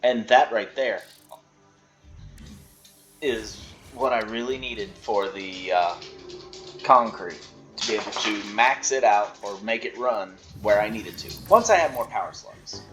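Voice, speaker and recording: A man's voice: lowish voice, unhurried, a bit bright, faint music behind. A man giving casual, chatty commentary while he plays a video game, laughing often. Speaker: gameplay creator.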